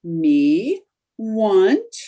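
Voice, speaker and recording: A woman playing a scheming, excitable young society housewife in a theatrical radio sitcom style. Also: medium pitch, drawn-out words, heavily acted. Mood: sweetly wheedling. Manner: coaxing, theatrical. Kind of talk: old-time radio sitcom acting.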